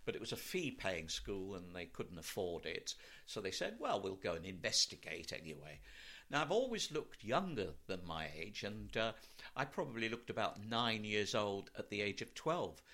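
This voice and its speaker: A man's voice: lowish voice, big pitch swings, normal speed, pushed and bright. An older man with a British Received Pronunciation accent, telling anecdotes from his life in a conversational interview. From Wales, later London. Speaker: interview guest, actor.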